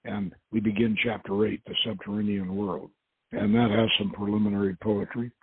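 A man with a gravelly, husky voice hosting and reading his own novel aloud as dramatic narration. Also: lowish voice, little pitch movement, moderate pace, no echo. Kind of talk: dramatic audiobook-style narration. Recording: dull, lo-fi audio.

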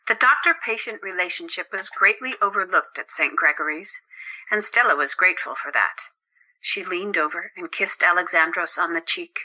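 Bright, low-pitched woman narrator. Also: moderate pace, dull audio. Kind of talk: scripted story narration.

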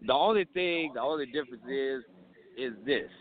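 A man's voice: mid-range voice, moderate pace. A man co-hosting a sports talk show, arguing heatedly and talking over the others. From Arizona. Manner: animated, talks over others. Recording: phone-line audio.

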